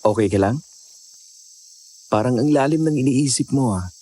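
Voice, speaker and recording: A man's voice: mid-range voice, lively intonation, normal speed, mellow, a little hiss or hum, close to an everyday voice. A young man playing a practical, reassuring boyfriend in a horror audio drama, casual.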